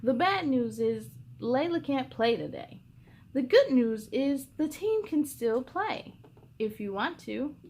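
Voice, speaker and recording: A woman voicing a supportive father ladybug in a storybook, speaking in a measured, encouraging way. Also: high-pitched, lively intonation, darkish tone, slight background noise, exaggerated voice. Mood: calm, supportive. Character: steady, supportive father ladybug coaching his team.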